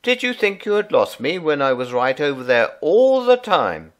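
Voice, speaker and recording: A man's voice: medium pitch, sing-song, measured pace, pitched above the performer's own voice. A man voicing a flustered, doting young mother in a storybook, with a raised, breathless delivery.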